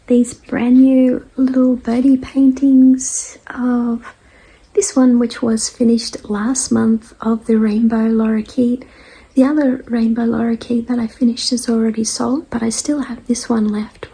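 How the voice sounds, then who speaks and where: A woman with a dry, croaky voice, getting over a cold and clearing her throat, chats casually to followers as host of a weekly show in an unhurried, hesitant, rambling way. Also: on the high side, warm tone, poor audio. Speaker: artist hosting a weekly message show. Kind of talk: casual vlog chat to followers.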